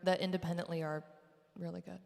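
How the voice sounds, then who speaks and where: Woman, low voice, casual rambling chat, home livestream, reverberant room. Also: little pitch movement, quick pace, vocal fry, darkish tone. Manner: chatty, rambling with fillers. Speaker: singer and livestream host. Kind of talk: casual chat between songs. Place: home studio set. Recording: echoey room with long reverb.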